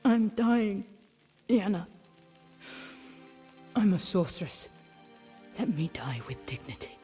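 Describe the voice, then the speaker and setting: A woman playing a proud, dying sorceress in a video game, weak and halting but dignified. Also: mid-range voice, big pitch swings, mellow, soft background music. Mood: dying, pleading. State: weak, fading breath.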